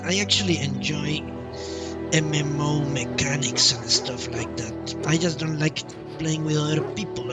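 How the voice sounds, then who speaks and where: A man livestreaming a game playthrough, chatting casually with viewers in a halting, opinionated way. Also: on the high side. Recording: distant mic, game music behind.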